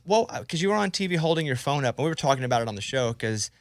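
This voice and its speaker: A man's voice: mid-range voice, lively intonation, very fast, no room sound. American male radio host, chatty and joking, dry close-miked studio interview. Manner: joking, talkative. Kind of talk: casual celebrity interview. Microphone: close studio microphone.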